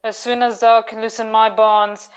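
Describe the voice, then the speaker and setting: A woman's voice: on the high side, little pitch movement, normal speed, clear, resonant tone, muffled recording, lightly acted. A young woman playing a bearded lion-man prince in an old-time radio serial, speaking in formal, archaic phrasing. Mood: earnest. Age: teens to young adult. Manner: archaic, formal thee-and-thou speech. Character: powerful, huge-bearded male prince of the Lion Men.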